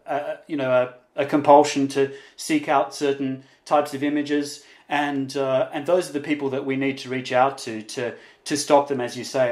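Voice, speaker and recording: A man in his forties hosting a conversational interview, speaking deliberately with frequent hesitations. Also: medium pitch. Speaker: interviewer, host. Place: indoors, on video call. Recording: roomy, echoing video call.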